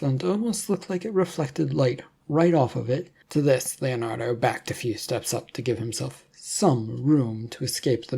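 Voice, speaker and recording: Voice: medium pitch, dark tone, breathy edge. A narrator reading an adventure story from a script in a storytelling style.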